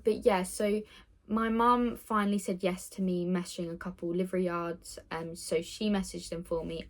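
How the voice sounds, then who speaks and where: A nineteen-year-old woman chatting casually in a get-to-know-me vlog, rambling with lots of ums and little laughs. She records on her phone indoors with the window open. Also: mid-range voice. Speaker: vlogger.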